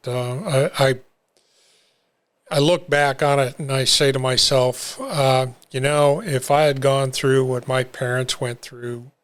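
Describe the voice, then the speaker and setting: An older man telling his own recovery story in an interview, talking haltingly with many fillers and restarts. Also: mid-range voice. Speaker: interview guest. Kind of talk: reflective personal story.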